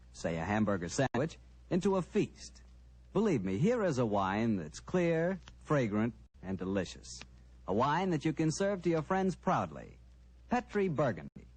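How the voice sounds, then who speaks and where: Husky, lively American radio announcer man. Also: medium pitch, no room sound. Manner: folksy, emphatic salesmanship. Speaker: announcer and sponsor pitchman. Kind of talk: old-time radio advertising announcer.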